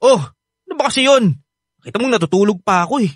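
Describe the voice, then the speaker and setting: A man's voice: high-pitched, expressive, fast talker. A man with a Filipino accent playing a sulky, rebellious sixteen-year-old boy in a radio drama, whiny and argumentative. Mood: groggy, annoyed. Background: background music.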